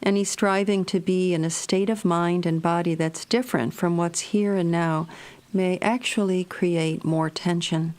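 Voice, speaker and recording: A woman narrating a guided meditation in a gentle, measured, soothing manner. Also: lowish voice, fairly even intonation.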